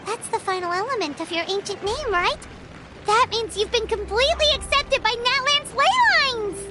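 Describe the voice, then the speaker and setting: Tiny fairy sidekick, extremely high voice, cheerful, excitable, video game character, music behind. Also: female voice, normal speed. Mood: curious, amazed. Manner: excitable, childlike, exclaiming. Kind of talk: animated video game voice acting.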